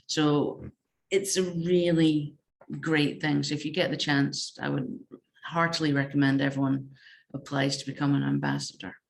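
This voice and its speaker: A woman researcher answering informally in a panel discussion, speaking in a measured way and pausing mid-sentence. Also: low-pitched, gravelly. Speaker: games researcher, roundtable panellist.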